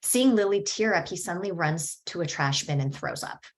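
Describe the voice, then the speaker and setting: A woman reading screenplay stage directions at a table read in a steady, descriptive way. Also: lowish voice, moderate pace.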